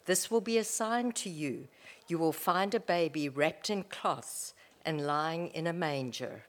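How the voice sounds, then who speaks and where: A woman reads a Bible passage to the congregation. Her voice is low and her pace slow and careful, every phrase measured. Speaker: scripture reader. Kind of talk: scripture reading.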